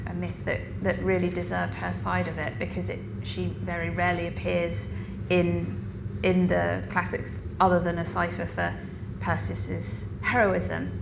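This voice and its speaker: A woman's voice: on the low side, level tone, unhurried, vocal fry. A woman speaking reflectively as a panelist at a live discussion. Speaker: panelist, author. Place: festival venue. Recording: roomy live panel recording with noise.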